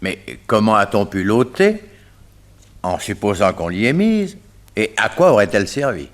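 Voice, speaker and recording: An actor playing a skeptical, formal coroner in a French radio mystery play, questioning and doubtful. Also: male voice, fairly low pitch, sing-song, moderate pace. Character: skeptical, formal male coroner, easily astonished.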